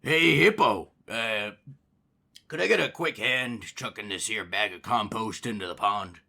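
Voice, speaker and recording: A man's voice: lowish voice, a little slow, creaky, sharp tone, full character voice. A man voicing a jittery, evasive male alligator rockstar who stammers out nervous excuses in a fan-made game.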